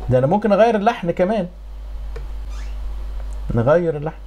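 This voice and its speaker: An Egyptian man in middle age teaches audio production in a casual tutorial style. His voice sits a little high and he talks very quickly, recorded in a small home studio. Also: animated, mellow, soft background music. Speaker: audio engineering instructor.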